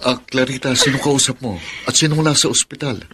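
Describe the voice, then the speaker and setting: A man's voice: mid-range voice, steady tone, a little fast, pushed and bright, slight background noise, lightly acted. An actor with a Filipino accent playing a devoted, consoling male friend in earnest, emotive radio romance style. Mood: concerned.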